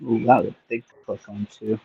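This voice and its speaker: A man's voice: on the low side, level tone, moderate pace, gravelly, highs cut off, a little hiss or hum. A man hosting a casual livestream, joking and chatting to himself while he picks locks. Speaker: livestream host. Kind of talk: casual chat while lockpicking.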